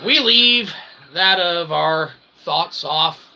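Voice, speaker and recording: A man's voice: fairly high pitch, very slow, background noise. A man delivering a tongue-in-cheek, rambling philosophical monologue as a channel host, in wordy, convoluted phrasing with frequent check-ins.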